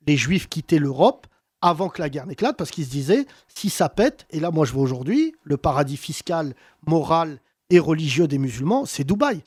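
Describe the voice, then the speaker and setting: A man hosting a heated political debate, talking with passion and emphasis and wide swings in pitch. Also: big pitch swings, moderate pace, darkish tone, breathy edge, dry recording, clean studio sound. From France. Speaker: host.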